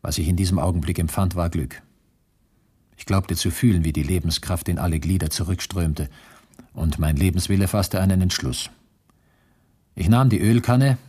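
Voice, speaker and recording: A man's voice: low voice, moderate pace, darkish tone, slightly rough. An actor playing a male camp survivor who recounts his ordeal soberly and precisely in a radio drama monologue. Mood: grave, intense.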